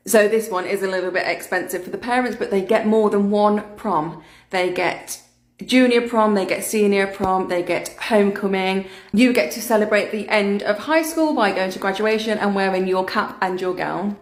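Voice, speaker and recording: A woman's voice: mid-range voice, moderate pace. British mother in an echoey room. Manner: steady, listing points one by one. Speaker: parent. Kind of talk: explanatory talk to camera. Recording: roomy echo.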